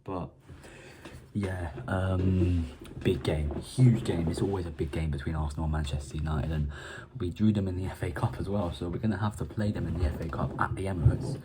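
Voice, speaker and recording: A man's voice: deep voice, fairly even intonation, brisk, noisy background. A young man from Ireland hosting a casual football match preview, rambling and often restarting his words. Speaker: football fan channel host.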